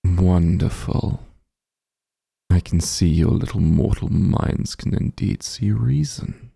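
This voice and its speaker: A man playing a powerful, calm and smug demon lord in a fantasy ASMR roleplay, unhurried and condescending, with a gravelly, husky low voice. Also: no room sound, studio quality, exaggerated voice. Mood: pleased, possessive. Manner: unhurried, condescending, softly commanding.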